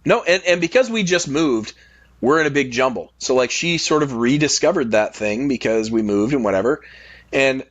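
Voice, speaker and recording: A man's voice: mid-range voice, animated, normal speed, dry recording. A man talking casually on a podcast, sharing personal anecdotes in a loose, conversational way. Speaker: podcast speaker.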